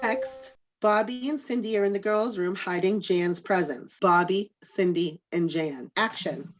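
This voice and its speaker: A woman's voice: mid-range voice, measured pace, crowd noise. A woman narrator reading stage directions for an amateur script reading.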